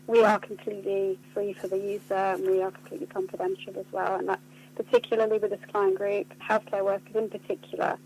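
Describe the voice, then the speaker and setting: A woman's voice: medium pitch, little pitch movement, quick pace, a little mellow, slightly rough, low-quality recording. A woman being interviewed on the radio, explaining things in an articulate, earnest way. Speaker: charity communications officer being interviewed. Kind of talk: spoken radio interview answers.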